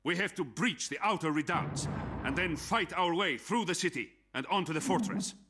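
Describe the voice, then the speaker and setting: An actor playing a resolute Soviet officer giving a rallying military briefing in a game, with a raspy voice. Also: male voice, a little high, background noise, close to an everyday voice. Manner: forceful, rallying, measured.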